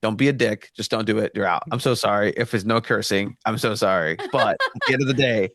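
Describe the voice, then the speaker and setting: A man's voice: on the low side, a little fast, fairly bright tone, no room sound, clean studio sound. A man from Mexico, Spanish his first language, speaking earnestly as a podcast guest, with many restarts. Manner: earnest, frequent restarts and fillers. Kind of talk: casual podcast discussion.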